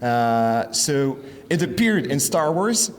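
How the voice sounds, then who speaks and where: A man interviewing musicians in a parking lot, asking halting questions full of fillers. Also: mid-range voice, very animated, long reverb tail, slight background noise. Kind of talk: casual interview. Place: outdoor parking lot at night.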